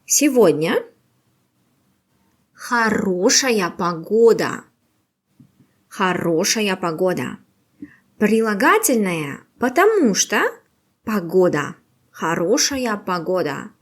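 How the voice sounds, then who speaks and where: A woman teaching a beginner language quiz, with patient, slow and carefully exaggerated pronunciation. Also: medium pitch, big pitch swings.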